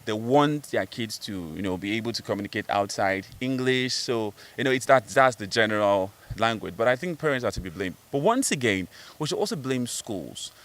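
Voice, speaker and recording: A man's voice: medium pitch, a bit bright, slight background noise. A man from Nigeria giving his opinion in a street interview, emphatic and conversational. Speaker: football scout.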